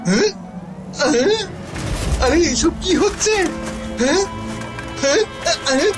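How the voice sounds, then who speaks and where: An excitable cartoon companion, high in pitch and full of dramatic exclamation. Loud music plays behind the voice. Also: female voice, a little slow. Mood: alarmed, dismayed. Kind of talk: dubbed cartoon acting.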